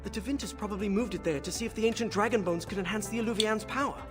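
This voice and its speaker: An actor playing an earnest young male mage scholar in a fantasy video game, speaking in a measured, explanatory way. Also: high-pitched, close to an everyday voice. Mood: earnest, focused. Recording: game audio with long reverb under music.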